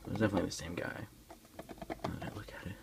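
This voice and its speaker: A man softly whispering in a breathy voice as he casually hosts an ASMR unboxing, unhurried and a little hesitant, recorded on a phone. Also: sits low, fairly even intonation. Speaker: ASMR video host.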